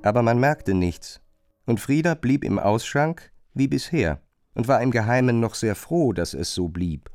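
A man narrating a literary radio drama in a measured, pointed, questioning manner. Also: mid-range voice, lively intonation, a little mellow, no echo, soft background music.

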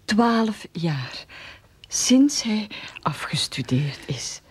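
A woman playing an anxious, naive old widowed mother in a radio play, breathy, hesitant and stammering. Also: mid-range voice, very animated, drawn-out words, heavily acted. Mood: fretful, hesitant.

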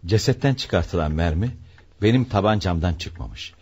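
A weary middle-aged father in a radio drama, fatherly and warm. The voice is very low and husky, on a muffled old recording. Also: lively intonation, moderate pace. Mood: calm, relieved. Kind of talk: radio play acting.

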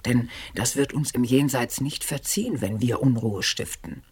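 A woman's voice: a bass voice, normal speed, darkish tone, breathy edge, exaggerated voice. An actress in a radio play as a dead woman's ghost, cool, laconic and reproachful.

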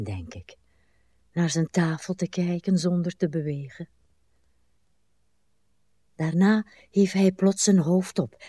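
An actress playing a weary, wistful old woman in a literary radio drama, speaking haltingly and pensively, with sighs. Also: sits low, dark tone, no room sound, studio quality, heavily acted. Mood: haunted, intense. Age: older. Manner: slow, halting, pensive delivery with drawn-out sighs. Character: weary, wistful, brooding old Irish countrywoman, a wife.

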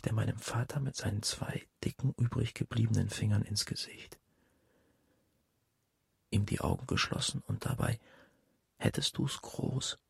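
An actor playing a haunted young son in a radio drama monologue, brooding in fragments with a gravelly, whispery voice. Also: male voice, on the low side, no room sound, exaggerated voice. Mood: tormented. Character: haunted young man brooding over his father's death.

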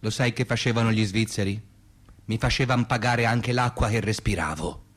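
An actor playing a blunt, muddled, bigoted everyman in comic radio theatre, with a rough Tuscan voice. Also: on the low side, moderate pace, close to an everyday voice. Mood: indignant ranting. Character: ranting, xenophobic Italian everyman, blunt and muddled.

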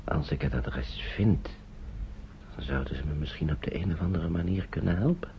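An actor playing a weary, worried guardian of refugee children in a radio play, thinking aloud in a halting, breathy, gravelly murmur. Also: male voice, a bass voice. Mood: worried, brooding. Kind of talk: radio play acting, murmured inner monologue. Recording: dull old radio play recording, faint noise.

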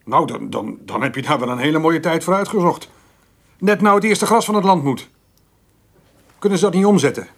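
Grumbling farmer, husky, lively intonation, hesitant, radio play. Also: male voice, fairly high pitch, brisk, long pauses. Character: grumbling, dutiful farmer, son and uncle. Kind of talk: radio drama acting.

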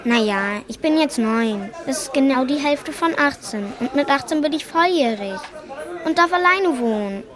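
A woman's voice: sits high, animated, moderate pace, a crowd in the background. A female performer playing a stubborn, pleading nine-year-old daughter in a radio drama, with blunt childlike bargaining.

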